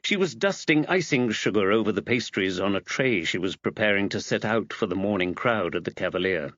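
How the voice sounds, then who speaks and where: A man's voice: on the low side, animated, a little fast, fairly bright tone, no room sound. A man reading a scripted mystery audiobook as its narrator, on slightly dull audio.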